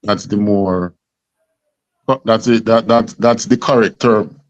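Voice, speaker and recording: A man's voice: lowish voice, steady tone, takes it slowly, no echo. Emphatic Jamaican man lecturing, dull sound. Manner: emphatic, rhetorical questions, repeats himself. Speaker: class teacher. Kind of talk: lecture in a scripture class.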